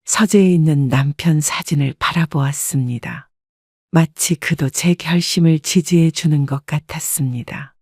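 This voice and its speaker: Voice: mid-range voice, measured pace, darkish tone, no room sound, clean studio sound. A narrator reading a scripted first-person story in a composed storytelling manner.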